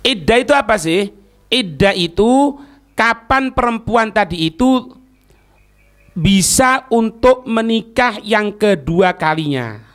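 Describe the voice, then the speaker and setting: Male religious teacher, high voice, Javanese accent, informal lecturing, colloquial and didactic. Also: lively intonation, takes it slowly, long pauses, fairly bright tone. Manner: didactic, often checking listeners understand; colloquial, acting out short example quotes. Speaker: Islamic preacher teaching religious law.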